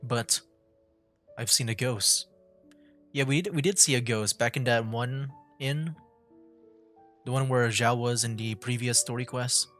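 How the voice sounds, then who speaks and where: A man's voice: medium pitch, unhurried, long pauses, a bit bright. A man doing casual, rambling livestream commentary on a game, sometimes reading text aloud. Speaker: livestream gamer, VTuber. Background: game music.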